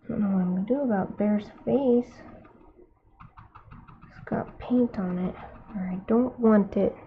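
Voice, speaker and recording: A woman's voice: mid-range voice, unhurried, slight background noise. A woman with a Californian accent, an artist chatting casually while she paints live, musing aloud and murmuring to herself between remarks. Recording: tinny phone-like sound.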